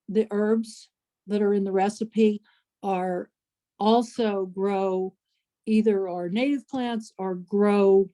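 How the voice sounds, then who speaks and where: A woman in a group video call, sharing slowly and reflectively. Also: mid-range voice, mellow. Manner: slow, unhurried, reflective. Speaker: workshop participant.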